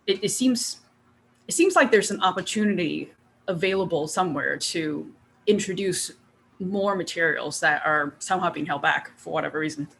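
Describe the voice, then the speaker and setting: Female author guest from Taiwan, bright timbre, measured and hedging, faint room noise. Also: lowish voice, vocal fry. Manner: thoughtful, hedging, measured with frequent ums. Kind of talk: casual conversational interview.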